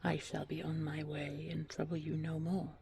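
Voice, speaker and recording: A woman's voice: low voice, level tone, moderate pace, gravelly, darkish tone. A woman voicing a humble, pure-hearted young human in a storybook tale, earnest, polite and pleading. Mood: apologetic.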